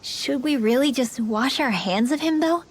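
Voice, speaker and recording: A woman's voice: high-pitched, expressive, normal speed, a little hiss or hum. A woman voicing a sensitive, self-doubting teenage mage girl in a video game, youthful and sincere. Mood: thoughtful. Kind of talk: dramatic video game acting.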